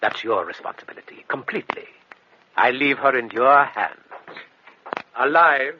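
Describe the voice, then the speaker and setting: A man acts a scornful, accusing physician in a gothic radio play. His formal, emphatic delivery swings widely in pitch. The recording is dull with faint hiss. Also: lively intonation, normal speed, lightly acted. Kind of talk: gothic radio drama acting.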